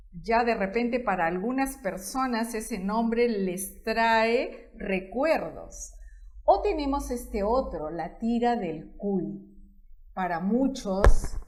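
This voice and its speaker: A woman host, speaking in a measured way and filling with "diríamos". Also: a little high. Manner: warm-thanking, measured, uses "diríamos". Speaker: program host. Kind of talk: slow hosting and interviewing.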